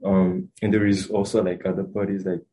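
A man's voice: sits low, little pitch movement, brisk, dark tone, slight background noise, poor audio. A young man from Rwanda, a student answering interview questions in a hesitant, casual way with many fillers and restarts. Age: young adult.

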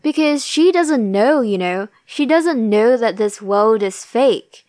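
A woman hosting a podcast, recapping a drama in a casual, thoughtful way, a little raspy and tired late at night. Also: a little high, lively intonation, slow, a little mellow, no room sound. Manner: thoughtful, musing. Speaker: podcast host.

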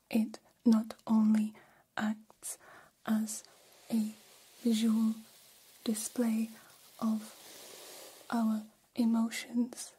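Woman acting curious alien, ASMR roleplay, whispered, mellow, stilted formal phrasing, gentle, very flat intonation. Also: a little high, husky, full character voice, rough recording. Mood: calm, explanatory.

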